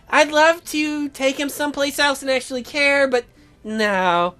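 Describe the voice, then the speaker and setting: Bright-voiced, sarcastic woman gamer. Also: high voice. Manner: sarcastic, teasing. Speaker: let's play host. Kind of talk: casual joking game commentary, reading dialogue aloud.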